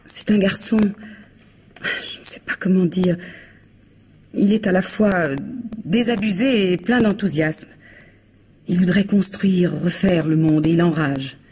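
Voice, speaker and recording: Guarded widow running a bar, measured, dryly indignant, evasive, 1950s-style radio mystery, faint background noise. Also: female voice, low-pitched, darkish tone. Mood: wistful. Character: guarded, tartly defiant woman bar owner, recently widowed.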